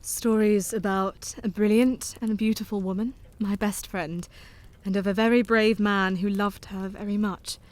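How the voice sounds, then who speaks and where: A woman playing a genteel Victorian mother, soft-spoken and tender, in an audio drama. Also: mid-range voice, fairly even intonation, normal speed, hardly any pauses, natural voice.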